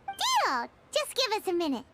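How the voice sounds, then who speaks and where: A woman voicing a small, excitable fairy girl companion in a video game, with a squeaky, childlike voice and chirpy exclamations. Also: moderate pace, loud music behind the voice, heavily acted. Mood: cheerful. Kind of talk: video game character acting. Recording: loud noise with clicks behind.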